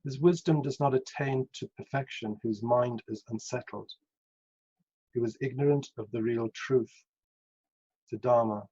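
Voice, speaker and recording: A man reading scripture aloud in a measured way. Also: medium pitch, mellow, slightly rough. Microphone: video call over Zoom. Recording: dull, lo-fi video call.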